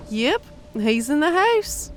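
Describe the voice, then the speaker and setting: A woman's voice: sits high, very animated, takes it slowly, faint music behind, full character voice. A woman playing a grumpy, foul-mouthed female creature with a blunt, sardonic way of talking in an audiobook drama. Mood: sardonic, grumbling. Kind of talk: audiobook drama character acting. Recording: clear background noise.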